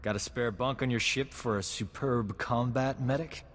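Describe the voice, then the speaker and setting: A man's voice: medium pitch, fairly even intonation, moderate pace, a bit bright, slight background noise, lightly acted. A man playing a suave, cocky combat medic and charmer in a video game. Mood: smooth, cocky. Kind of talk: scripted video game cutscene acting. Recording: hall reverb under clear music.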